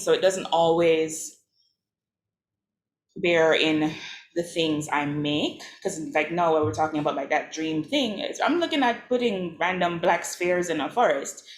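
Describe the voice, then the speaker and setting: A low-voiced woman from Jamaica answers as a panelist, hesitant and full of fillers and laughs. Her voice rings in an echoey room with faint background hiss. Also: unhurried. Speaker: panelist artist. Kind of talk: casual panel discussion.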